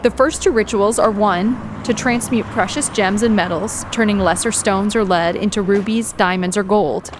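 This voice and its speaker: A woman's voice: medium pitch, normal speed, hardly any pauses, background noise, close to an everyday voice. Woman playing a precise history tour guide. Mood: instructive. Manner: precise, lecturing, curt corrections. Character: bookish, exacting female history tour guide. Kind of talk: audio drama acting.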